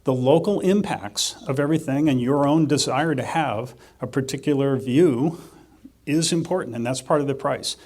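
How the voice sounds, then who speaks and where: A man's voice: mid-range voice, normal speed, a little mellow. Man giving a conversational economics talk. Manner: conversational, dry self-deprecating humor, thinks aloud. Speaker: agricultural economist presenting. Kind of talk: conference presentation.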